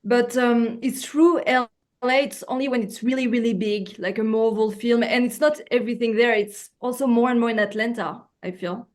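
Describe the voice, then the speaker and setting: French-accented woman, fairly high voice. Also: steady tone, moderate pace. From France. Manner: searching for words, frequent fillers and restarts. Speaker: actress, guest. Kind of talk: casual interview over video call. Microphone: video call.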